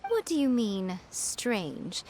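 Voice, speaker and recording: A woman's voice: mid-range voice, sing-song, slow, darkish tone, a little hiss or hum. A woman playing a poised, self-assured, playful mentor in an anime game. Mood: mock-offended.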